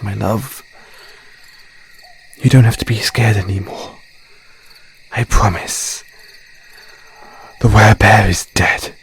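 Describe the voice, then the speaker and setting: A man's voice: fairly low pitch, slightly rough, heavily acted. A man playing an obsessively loving, menacing male werewolf in an audio roleplay, with intense, dramatic delivery.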